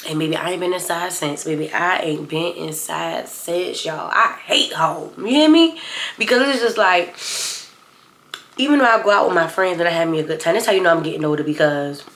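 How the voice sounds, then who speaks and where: Low-voiced congested young woman vlogger. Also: fairly quick, fairly bright tone, slight background noise. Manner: chatty, rambling, talks straight to viewers. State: congested from a cold. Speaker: storytime vlogger. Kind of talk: casual storytime chat.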